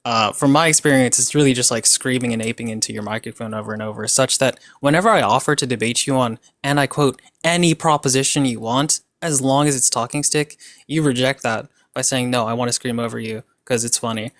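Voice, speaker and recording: A man's voice: mid-range voice, a little fast, hardly any pauses, fairly bright tone, dry recording, clean studio sound. A man in an online debate, mocking and casual, trash-talking with lots of fillers and slang.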